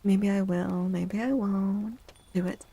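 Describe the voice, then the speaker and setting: A woman speaks in a gentle ASMR hush that often drops to a whisper. Her voice is dark and soft, with a creaky, breathy grain. She sounds like a host reading cards for her viewers, unhurried and soothing. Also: mid-range voice, steady tone, no echo.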